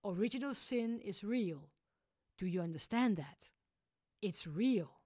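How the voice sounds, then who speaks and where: A woman voicing a stern, cold male church dean who scolds loudly. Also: high voice, expressive, measured pace, no echo, studio quality. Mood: condemning. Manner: harsh, scolding, loud. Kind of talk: audiobook character voice.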